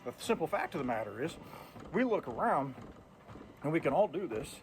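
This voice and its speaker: A man's voice: on the high side, big pitch swings, moderate pace, poor audio. A man in his fifties talks straight to his viewers in a blunt, plainspoken way. He chats casually while walking on a treadmill. A faint hum sits behind his voice. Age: 50s. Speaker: truck driver and vlogger. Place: indoors on a treadmill.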